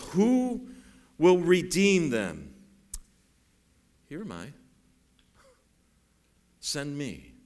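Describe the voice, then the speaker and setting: A middle-aged man with a bass voice preaching a sermon in a folksy, self-deprecating way, rising to raised, urgent preaching. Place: church sanctuary. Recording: long reverb in a large hall.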